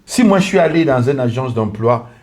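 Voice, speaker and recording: Earnest pastor. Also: male voice, mid-range voice, lively intonation, brisk, darkish tone. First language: English. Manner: earnest, repetitive, lists in short clauses. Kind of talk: testimony in a podcast conversation.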